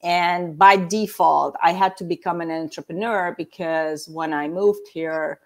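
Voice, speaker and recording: A woman's voice: lowish voice, steady tone, unhurried. A woman in her fifties from Hungary with a Hungarian accent, a guest explaining things step by step in a casual chat.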